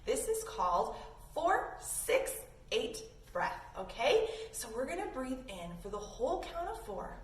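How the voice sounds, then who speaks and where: A woman's voice: high voice, wildly swooping pitch, unhurried. A woman instructing young children in yoga in a playful, encouraging way. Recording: roomy, distant mic, faint noise.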